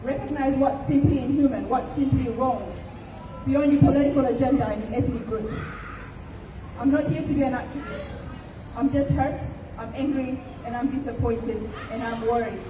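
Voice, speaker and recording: Woman rally speaker from Ethiopia, pained and emphatic, high pitch, heard from afar. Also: moderate pace, muffled recording, noisy background. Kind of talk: impassioned protest speech. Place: outdoor rally. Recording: distant, echoey outdoor recording.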